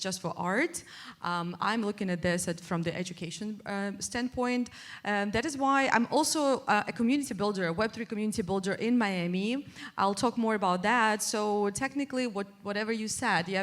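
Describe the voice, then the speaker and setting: Enthusiastic woman tech founder on a panel. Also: medium pitch. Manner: enthusiastic, with many fillers and restarts. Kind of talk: conference panel talk. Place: conference stage.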